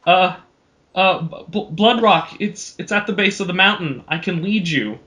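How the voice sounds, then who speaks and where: A small halfling, as a man puts him on, with a raised, bright voice. He sounds anxious and hesitant, quick to get testy, like a sidekick in a storybook adventure. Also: high-pitched, voice pushed up. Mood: nervous. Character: small anxious male halfling, easily angered.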